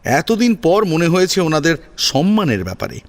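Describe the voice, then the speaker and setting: A man's voice: on the high side, very animated, moderate pace. A man narrating a scripted family drama as a storyteller, in a dramatic audio-story style.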